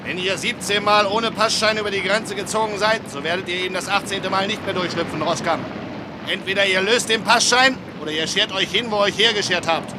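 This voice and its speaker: A man's voice: sits high, fairly even intonation, brisk, background noise, lightly acted. An actor playing a haughty, sneering man of the castle in a German radio play, with an imperious manner. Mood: sneering, stern. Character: haughty, sneering male castle official or young nobleman.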